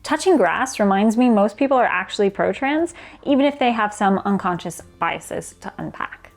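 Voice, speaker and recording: A woman's voice: medium pitch, expressive, moderate pace. A woman reading out a personal testimony as a guest contributor. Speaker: guest reader of a written community response. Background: background music.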